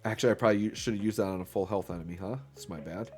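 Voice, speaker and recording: A man's voice: on the low side, very fast, darkish tone, soft background music. A man hosting a gaming channel, talking casually through his gameplay. He sounds a little stuffy from an illness and fills his talk with "um" and "right?". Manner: upbeat, filler-heavy, talks while playing.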